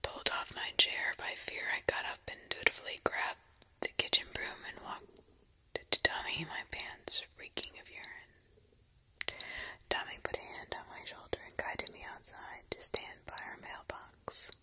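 A woman narrating a horror story entirely in a steady, hushed whisper. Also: a little slow, poor audio.

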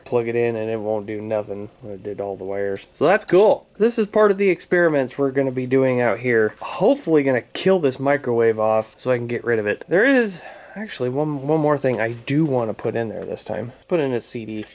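A congested man in his thirties talks to a handheld camera in a cluttered garage workshop. He sounds easygoing and gets excited quickly, with frequent chuckles. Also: medium pitch. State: stuffed up with a head cold. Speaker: YouTuber. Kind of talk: casual vlog chat. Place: large cluttered wooden garage workshop, indoors. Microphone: camera mic, handheld selfie framing.

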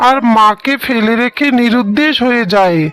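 A man's voice: very high-pitched, moderate pace, sharp tone, clear, resonant tone. An actor voicing a trusting young husband in a cartoon, speaking earnestly and reassuring his wife. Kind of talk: dubbed cartoon voice acting.

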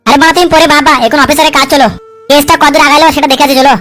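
Woman as squeaky-high, cheeky cartoon sidekick. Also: steady tone, a bit bright. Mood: bossy. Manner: chattering, argumentative, coarse, quick with excuses. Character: wily, cheeky male sidekick and schemer, foul-mouthed. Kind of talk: comic cartoon dub dialogue.

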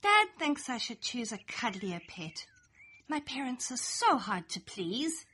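A woman's voice: fairly high pitch, sing-song, measured pace, bright, forceful tone, husky. A young adult woman reading a rhyming children's picture book aloud in a playful, sing-song storytelling manner. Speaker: storybook reader. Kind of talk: expressive read-aloud children's story in rhyme.

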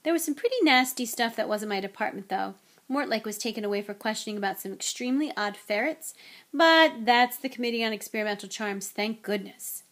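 A woman's voice: on the high side, lively intonation, brisk, fairly bright tone. A woman voicing a mild, tired middle-aged father in a storybook, with a hesitant, mumbling manner. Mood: weary. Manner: hesitant, stammering, sighing, mumbling.

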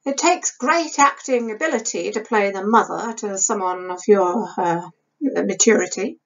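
A woman's voice: medium pitch, unhurried. A woman voicing a wry, teasing older male actor and husband, in dry formal period speech for a novel. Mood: dryly teasing. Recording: dull lo-fi webcam sound.

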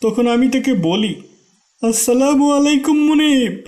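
A very high-pitched male actor's servant voice for a moral story cartoon. He is loyal and humble, speaking to others with polite deference. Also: measured pace, a little mellow. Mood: respectful, earnest.

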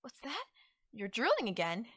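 A woman voicing a playful, cryptic, teasing witch in an ASMR audio roleplay, coy and given to musing pauses. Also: a little high, huge pitch swings, fairly bright tone, slightly rough, no echo, studio quality, exaggerated voice. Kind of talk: ASMR audio roleplay, acted straight to the listener.

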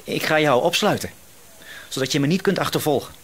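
An actor playing a paranoid, quietly menacing male shopkeeper in a Dutch radio drama, hesitant yet insistent. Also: fairly high pitch, heavy background noise, close to an everyday voice. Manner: hesitant, insistent, suspicious, drawn-out words.

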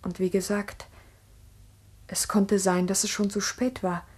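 Husky, earnest schoolteacher. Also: female voice, medium pitch, flat delivery. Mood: reflective, earnest. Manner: deliberate, earnest, reflective. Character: earnest, ambitious village schoolteacher recounting events. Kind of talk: 1960s German-language radio drama narration.

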